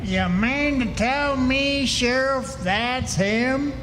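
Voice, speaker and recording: Man as a very high-voiced, folksy frontier townsman. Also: very slow, over music, exaggerated voice. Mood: incredulous, wry. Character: folksy, wisecracking Old West townsperson. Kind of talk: live Western radio play acting. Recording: echoey hall with faint noise.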